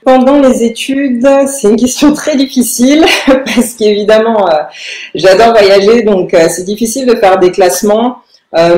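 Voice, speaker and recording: A young woman, answering in a podcast interview with halting phrasing and very frequent filled pauses. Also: fairly low pitch, mellow. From Paris, France. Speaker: lawyer, interview guest. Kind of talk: podcast interview answers about own career. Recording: roomy, echoing room sound.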